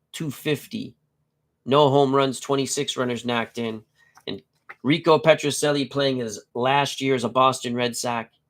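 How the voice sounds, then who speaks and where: Congested, nasal middle-aged man chatting. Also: medium pitch. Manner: chatty and joking, reads player stats in short clipped bursts. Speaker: host of a tabletop baseball live stream. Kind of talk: casual live-stream chat and lineup reading.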